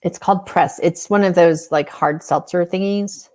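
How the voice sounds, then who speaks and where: Chatty rambling woman, lowish voice. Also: steady tone, normal speed, a little mellow. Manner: chatty, rambling, self-mocking. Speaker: podcast co-host. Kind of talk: casual chat over a video call.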